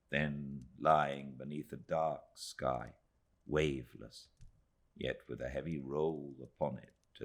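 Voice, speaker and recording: A British man reading a literary audiobook in measured, dramatic phrases with deliberate pauses. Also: rumbling low, a bit bright. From Britain. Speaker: audiobook narrator.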